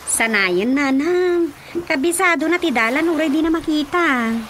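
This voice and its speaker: A bossy young daughter in a radio drama, high-voiced and bright. She is insistent and accusing, over faint background noise. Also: lively intonation, moderate pace. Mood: explaining. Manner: insistent, demanding. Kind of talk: radio drama comedy acting.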